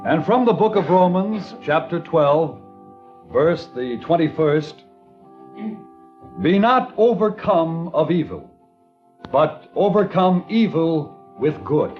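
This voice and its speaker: An earnest small-town preacher, delivers scripture in a measured, solemn cadence. His voice sits a little high. The old radio recording sounds dull, with faint music beneath. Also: very animated. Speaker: reverend. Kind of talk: 1950s radio drama acting.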